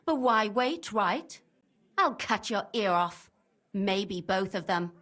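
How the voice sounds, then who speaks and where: A woman's voice: medium pitch, lively intonation, slow, a bit bright. An actress playing a fierce, vengeful woman in a video game drama, menacing, mocking and impatient. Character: fierce, vengeful young woman, threatening and sarcastic.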